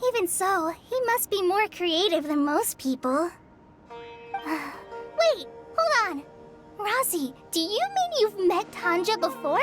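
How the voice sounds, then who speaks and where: A woman voicing an earnest, kindly young trainee forest ranger in an anime game, gentle and reassuring. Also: extremely high pitch, sing-song, slow pace, exaggerated voice. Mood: eager. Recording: music under the voice.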